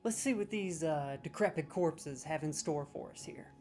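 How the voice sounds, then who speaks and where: A man's voice: fairly high pitch, normal speed, darkish tone. Sarcastic man mock-judging with faint music. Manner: sarcastic, mock-serious, playfully exaggerated. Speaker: comedic judge scoring game voice lines. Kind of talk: scripted comedy commentary.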